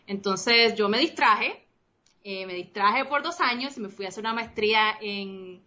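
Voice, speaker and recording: Guest: bright-toned woman in her thirties, Puerto Rican Spanish with Costa Rican traces, rambling and chatty, slightly dull lo-fi sound. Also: medium pitch, moderate pace. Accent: Puerto Rican Spanish with some Costa Rican traces. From Costa Rica. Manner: rambling, many restarts and fillers, mixes in English. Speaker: interview guest, game designer. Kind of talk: casual interview chat.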